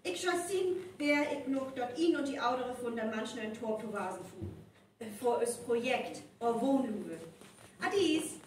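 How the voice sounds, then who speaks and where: Eine Frau spielt in einem live aufgeführten nordfriesischen Hörspiel eine gesprächige, ernsthafte Ethnologin. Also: female voice, a little high, expressive, a little slow. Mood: insistent. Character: talkative woman ethnologist from Kiel university, earnest. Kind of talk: live stage radio play acting in North Frisian. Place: live stage performance in a hall. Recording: roomy echoing live recording, distant, faint noise.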